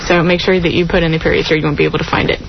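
A woman's voice: on the low side, flat delivery, noisy background. Chatty giggly young woman on radio. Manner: chatty and giggly, slows for details. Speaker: youth group presenter. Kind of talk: casual radio talk. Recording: dull lo-fi radio broadcast.

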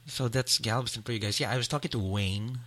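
A man hosting a casual podcast, chatty and quick to laugh. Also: medium pitch, a bit bright. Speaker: podcast host. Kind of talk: casual chat.

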